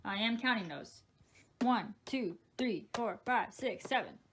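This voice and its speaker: Chatty young woman with a high voice. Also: animated, measured pace, fairly bright tone, slight background noise. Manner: chatty, counting items aloud. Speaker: weather radio collector. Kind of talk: casual show-and-tell vlog.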